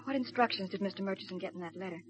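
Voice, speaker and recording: A woman's voice: medium pitch, very fast, lightly acted. An actress playing an earnest, stubborn young woman caught up in a crime case, in 1950s radio drama style. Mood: subdued, reproachful. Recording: muffled vintage radio recording.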